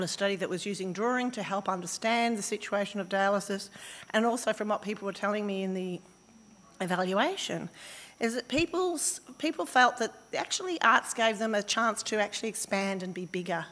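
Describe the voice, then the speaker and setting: An Australian woman with a slightly croaky voice giving a conference talk, thoughtful and halting with fillers and restarts. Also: medium pitch, a bit bright. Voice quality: slightly hoarse. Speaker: conference speaker. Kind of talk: academic presentation. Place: conference hall. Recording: reverberant conference hall.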